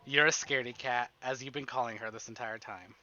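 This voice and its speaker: Guy streaming a visual novel read-aloud, sharp voice, theatrical exasperated asides, a bit lo-fi. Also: mid-range voice, steady tone, a little fast. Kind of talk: expressive narration with exasperated asides. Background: game music.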